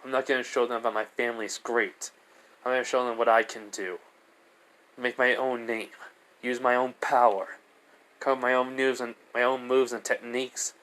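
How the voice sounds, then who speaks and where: A man voicing a brash, determined young male hedgehog hero in an amateur story, proud and defiant but soft-hearted. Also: medium pitch, level tone, moderate pace, close to an everyday voice. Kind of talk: amateur character voice in improvised storytelling. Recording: noisy amateur recording.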